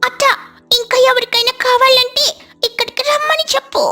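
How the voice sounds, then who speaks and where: A woman's voice: very high voice, steady tone, brisk, bright, forceful tone, faint music behind, voice pushed up. A woman voicing an eager, helpful little child sparrow in a cartoon story, pleading with childlike enthusiasm. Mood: proud.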